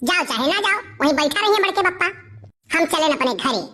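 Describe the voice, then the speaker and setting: A woman's voice: sits high, expressive, fairly quick, pushed and bright. A woman voicing a cheeky, scheming village boy with an Awadhi accent, cajoling and insistent in comic cartoon dialogue. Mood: feigned indifference.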